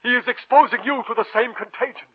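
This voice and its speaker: Grave, husky fatherly professor, old radio. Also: very high voice. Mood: urgent. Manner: earnest, deliberate, solemn warnings. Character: concerned older male professor, grave fatherly mentor. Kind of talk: 1940s radio drama acting. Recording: muffled vintage 1940s radio recording.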